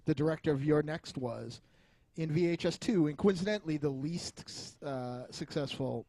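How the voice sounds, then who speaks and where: A man's voice: a little high, normal speed, mellow, dry recording. A man co-hosting a podcast, arguing about film in an emphatic, combative way with plenty of swearing. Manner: argumentative, emphatic, profane. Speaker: podcast co-host. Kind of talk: heated film review discussion.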